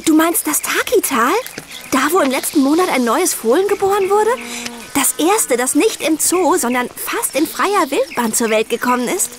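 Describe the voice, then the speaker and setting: A woman's voice: high-pitched, expressive, moderate pace, slight background noise. An actress playing an eager, curious, horse-loving teenage girl in a German children's audio drama.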